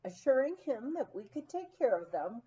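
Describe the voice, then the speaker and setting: A woman reading a humorous memoir aloud as scripted narration, in an expressive, storytelling manner. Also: fairly high pitch, muffled recording. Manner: expressive, unhurried storytelling with acted quotes. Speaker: audiobook reader.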